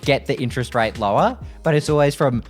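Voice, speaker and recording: A man's voice: mid-range voice, brisk, faint music behind. A man chatting casually as a player in a tabletop game, playful and inquisitive, slipping into in-character banter.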